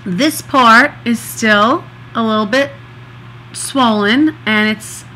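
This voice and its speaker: A middle-aged woman speaking slowly and earnestly, pausing often between thoughts. Her voice is bright and forward, a little stuffed up from congestion. A faint hum of room sound sits behind her. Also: medium pitch, low-quality recording. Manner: earnest, slow, many pauses and fillers. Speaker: vlogger recovering from nose surgery. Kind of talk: casual diary-style video.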